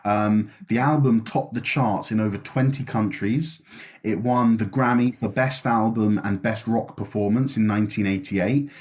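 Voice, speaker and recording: A lower-voiced man co-hosting a laid-back music podcast. He talks in an easy, chatty way and often ends his points with tag questions. He reads off facts and figures in a steady listing manner. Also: moderate pace. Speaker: podcast co-host. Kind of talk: casual chat.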